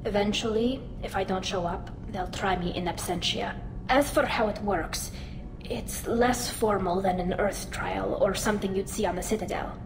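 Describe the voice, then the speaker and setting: A woman's voice: mid-range voice, normal speed, noisy background, close to an everyday voice. Woman acting earnest young alien woman, roomy sound. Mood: somber, explaining. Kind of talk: dramatic video game dialogue.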